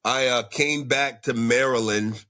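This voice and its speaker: A man, a rapper, talking in a laid-back, slow way with many fillers in a casual interview. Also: medium pitch, steady tone, sharp tone.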